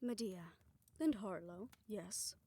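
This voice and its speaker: A woman playing a remorseful scientist in an intimate audio drama, speaking softly and haltingly as if confessing. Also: medium pitch, husky. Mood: guilty, bitter. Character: remorseful female scientist haunted by her past experiments. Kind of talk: soft, intimate audio roleplay acting.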